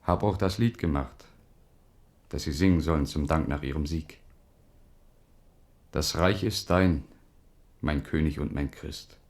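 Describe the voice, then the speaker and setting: An actor in a German radio play playing a grave, devout poet-officer and loyal old friend, solemn and declamatory. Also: male voice, very deep voice, unhurried, pauses often, a little mellow.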